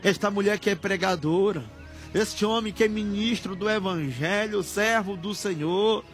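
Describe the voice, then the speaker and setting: High, impassioned Brazilian male preacher in prayer. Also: normal speed. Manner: pleading, emotional, repeatedly swelling into shouted cries. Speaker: preacher leading prayer on a broadcast. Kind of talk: fervent extemporaneous Pentecostal prayer. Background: background music.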